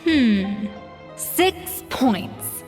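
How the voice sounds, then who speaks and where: A woman voicing a proud, blunt young female chef with a crisp, haughty manner in an anime game. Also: a little high, animated, very slow, music in the background. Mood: withering.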